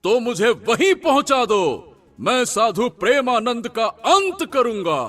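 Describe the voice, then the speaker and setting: A man's voice: very high voice, big pitch swings, measured pace, fairly bright tone, soft background music, pitched above the performer's own voice, heavily acted. A man voicing a vengeful, power-hungry king in a cartoon story, demanding and imperious. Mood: determined, menacing.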